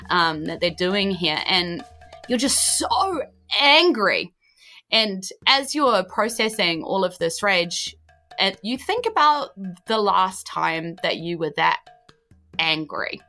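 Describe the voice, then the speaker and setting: Bright-voiced New woman game master. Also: medium pitch. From New Zealand. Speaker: game master of a tabletop game podcast. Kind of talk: improvised game narration, casual and chatty.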